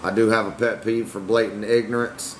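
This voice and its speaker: A young man with a somewhat low voice, answering his livestream chat bluntly and defensively. A steady air-conditioning hum runs under everything he says. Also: brisk, rough recording. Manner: blunt, defensive, Southern-tinged slang. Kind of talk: casual livestream talk to chat.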